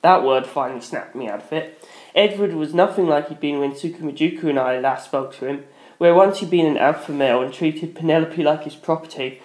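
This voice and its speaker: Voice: medium pitch, a little fast, darkish tone. A narrator reading a dramatic first-person story. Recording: echoey room.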